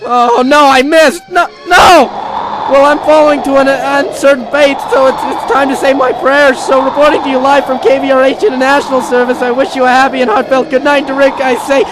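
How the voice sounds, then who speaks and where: An actor playing a flustered, stammering field reporter in a comic old-time radio news skit. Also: male voice, very high-pitched, brisk, loud music behind the voice, poor audio. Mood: panicked. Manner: stammering, excitable.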